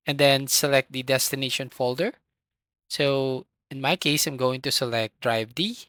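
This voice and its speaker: A tutorial host giving step-by-step software instructions in an instructional manner, with a voice recorded close to the microphone. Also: mid-range voice, fairly even intonation, normal speed, dry recording.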